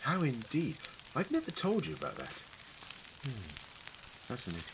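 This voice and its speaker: A man's voice: medium pitch, wildly swooping pitch, moderate pace, noisy background. A man acting in a fan audio drama as an eccentric, clever Time Lord adventurer, musing, wordy and wryly witty. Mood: intrigued, guarded.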